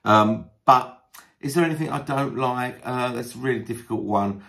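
A man talking casually as a video creator, in a self-deprecating, joking manner, often pausing with ums and uhs while he thinks. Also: mid-range voice, gravelly, a bit bright. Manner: hesitant, frequent ums and uhs as thinking time. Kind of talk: casual talk to camera, answering a list of questions.

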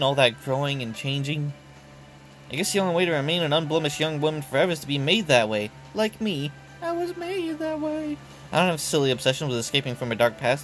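A man voicing a smug, ageless young woman in a game who talks down to others in a slow, condescending drawl. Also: on the high side, fairly bright tone, low-quality recording.

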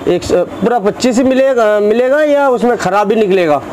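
Host guy in a shop, repeats whatever the seller says, slightly high voice, faint hum behind. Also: lively intonation, moderate pace, a little mellow. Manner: echoes the seller and addresses viewers. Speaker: video host. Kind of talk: shop-visit video chat. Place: bangle shop.

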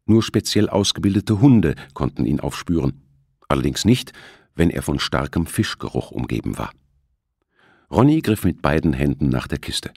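A man's voice: a bass voice, darkish tone, husky, dry recording, studio quality. A man narrating a suspense novel as an audiobook, reading from a script. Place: close, dry studio recording.

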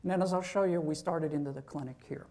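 A man's voice: fairly high pitch, fast talker, mellow. A man, a professor, giving an informal university lecture, talking conversationally and checking in with "okay?".

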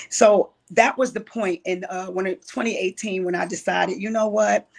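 A woman in her late fifties tells her life story as an interview guest, candid and steeped in faith. Her voice has a gravelly, fry-laced edge. Her pitch sits mid-range for a woman. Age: 50s. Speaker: guest, writer and producer. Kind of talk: casual interview, testimony.

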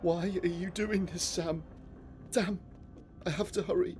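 A man's voice: high-pitched, fairly even intonation, darkish tone, a little hiss or hum. Panicky, stammering young man in a horror game. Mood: urgent. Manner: halting, stammering, pleading. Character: panicky young man trapped in a nightmare underground.

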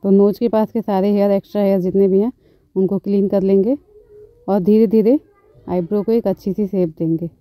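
A woman beautician calmly explaining eyebrow threading step by step, as she works, often repeating her phrases. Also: mid-range voice, brisk, dry recording. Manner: calm, instructive, repeats phrases. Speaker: beautician, channel host. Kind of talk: step-by-step tutorial narration.

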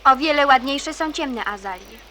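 A woman's voice: high voice, animated, normal speed, sharp tone, slight background noise. A woman playing a guarded, stubborn but hopeful teenage schoolgirl in a radio drama, speaking in a curt, matter-of-fact way.

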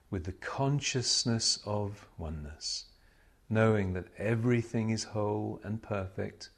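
A man speaks as a spiritual meditation teacher in a fairly low voice. His delivery is very slow and solemn. He sets out short, measured phrases, leaving pauses between them.

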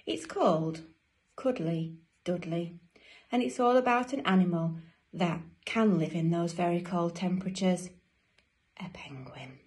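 A middle-aged woman, reading a picture book to young children as a teacher, pausing to ask them questions. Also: on the low side. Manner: slow, expressive delivery for young children. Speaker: infant school teacher reading a bedtime story. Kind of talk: children's storybook read-aloud with questions to listeners. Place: small domestic room indoors. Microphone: phone or tablet an arm's length or more away.

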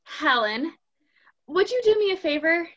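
Girl acting an eager naive young friend, really high voice, gushy, stage comedy, muffled call audio. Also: very animated, measured pace, fairly bright tone, heavily acted. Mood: chirpy, curious. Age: young adult. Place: indoors at home, video call.

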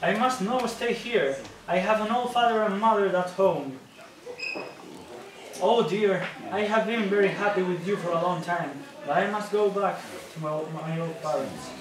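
A man's voice: sits high, normal speed, a crowd in the background, natural voice. A young man acting a homesick, devoted folktale hero in a scripted puppet show. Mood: earnest, homesick. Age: young adult. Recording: echoey room from far, audience clatter.

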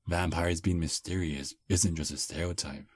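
A man voicing a friendly 215-year-old male vampire on a first date in an ASMR roleplay, soft-spoken and slightly halting. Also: low voice, steady tone, no echo, clean studio sound. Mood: easygoing.

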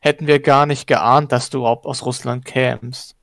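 A man speaks haltingly in a relaxed online discussion and trips over his sentences. The sound is dry and close. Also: medium pitch, gravelly, no echo. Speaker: discussion participant. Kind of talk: casual group chat.

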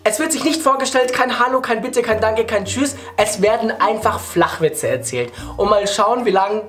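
A man's voice: high-pitched, moderate pace, a bit bright. A man in his twenties talking casually to camera as the host of a prank video. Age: 20s. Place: small living room, indoors.

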